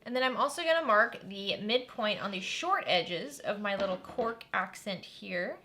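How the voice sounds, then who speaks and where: Female host, friendly craft-tutorial read: bright tone, mid pitch, chatty step-by-step explaining. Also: lively intonation, normal speed, a little hiss or hum. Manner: chatty and upbeat, narrating while working.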